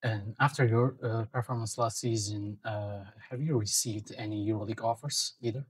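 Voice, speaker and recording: A man with a Turkish accent asking interview questions in English, hesitant and broken up by "uh" pauses. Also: lowish voice, steady tone, husky, dry recording.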